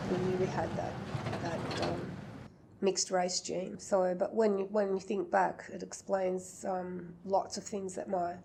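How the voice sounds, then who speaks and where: Flat, gravelly older woman recalling. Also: fairly low pitch, background noise. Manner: reflective, halting. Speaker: interviewee. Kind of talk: documentary interview.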